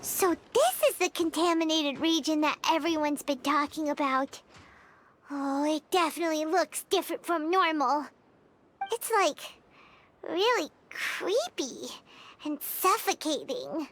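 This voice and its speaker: A squeaky tiny childlike companion. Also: female voice, measured pace, fairly bright tone, a little hiss or hum. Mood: uneasy. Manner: wide-eyed, exclaiming, chatty. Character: tiny floating childlike female companion, chatty and curious. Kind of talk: video game cutscene dialogue.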